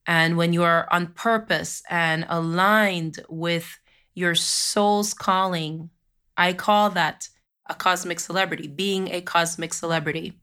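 A Jamaican-born woman hosting a spiritual podcast with a cold, losing her voice a little, who moves from slow chanted affirmations into a rambling talk full of fillers. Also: lowish voice, fairly even intonation, bright, forceful tone, smooth. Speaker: podcast host.

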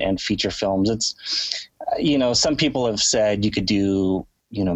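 A man's voice: fairly low pitch, dry recording, poor audio. A man with a nasal, sniffly voice from a cold, explaining his filmmaking in a rambling, conversational way as an interview guest. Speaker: guest, writer-director.

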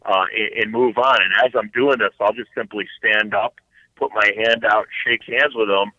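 A man explaining his work as an interview guest in a casual, halting way, restarting his phrases again and again. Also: lowish voice, fairly even intonation, sharp tone, no echo. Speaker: podcast guest, financial advisor. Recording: thin phone-like line, dry.

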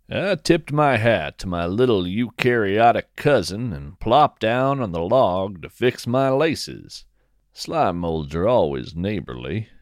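A man narrates scripted fiction with a slow, deliberate pace. His low voice moves expressively up and down as he tells the story. The sound is dry and close, with no room around it. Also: no room sound. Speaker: podcast host and narrator.

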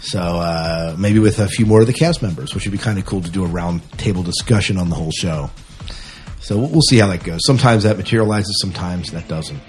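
A man's voice: deep voice, fairly quick, darkish tone, soft background music. A man hosting a podcast in casual interview chat, informal and hesitant, often repeating and rephrasing himself. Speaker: podcast host.

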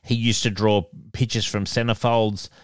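A man's voice: on the low side, flat delivery, moderate pace, clear, resonant tone, no echo, studio quality. A man with a nasal voice co-hosting a casual podcast, reading listener emails and chuckling often. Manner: laughs often, chuckling between remarks. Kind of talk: casual chat.

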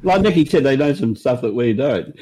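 An Australian man on a sports podcast panel offers measured, earnest takes on the game. His voice is warm and mellow, at an ordinary pitch. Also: animated, slightly rough. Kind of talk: casual sports chat.

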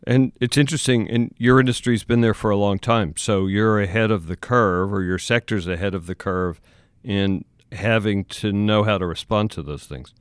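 A man hosting a podcast, asking interview questions in a measured, thoughtful way. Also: lowish voice, clean tone, dry recording, studio quality. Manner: measured, thoughtful, asks at length.

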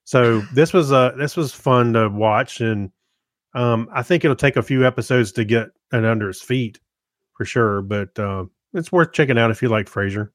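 A man's voice: medium pitch, dry recording. Hesitant man in casual podcast chat, dry sound. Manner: hesitant, pausing to search for words. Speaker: podcast co-host reviewing TV shows.

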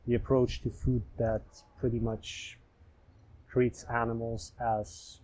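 A man's voice: medium pitch, flat delivery, takes it slowly, darkish tone, low-quality recording. A man with a mid-range voice hosting a casual podcast interview. He is curious and engaged and keeps asking follow-up questions. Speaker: podcast host.

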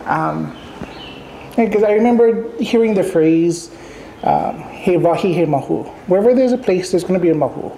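A woman from Hawaii whose first language is Hawaiian, interviewing in a relaxed, thoughtful way with frequent pauses and fillers. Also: on the low side, vocal fry, slight background noise, rough recording. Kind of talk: conversational interview.

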